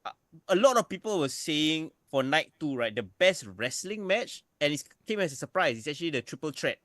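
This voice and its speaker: A man's voice: a little high, very animated, brisk, fairly bright tone, no room sound, clean studio sound. A man hosting a podcast, asking questions and steering the chat. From Singapore. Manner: asks questions, steers the talk. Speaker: podcast host. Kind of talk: casual chat.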